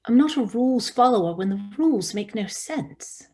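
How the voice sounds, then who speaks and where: A woman's voice: on the high side, animated, normal speed, mellow, natural voice. A woman playing a blunt, protective survivor who breaks rules, speaking directly and informally in a drama table read. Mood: protective, frank.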